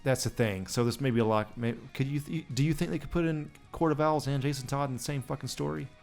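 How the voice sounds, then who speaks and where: A man with a mid-range voice chats bluntly on a podcast, tossing out swear words and offhand opinions. Faint music sits low behind his voice. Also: quick pace, creaky, darkish tone. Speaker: podcast co-host. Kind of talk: casual chat.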